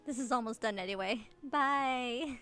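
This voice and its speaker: A woman's voice: on the high side, a little slow, pushed and bright, faint music behind. A woman game streamer chatting casually on a livestream.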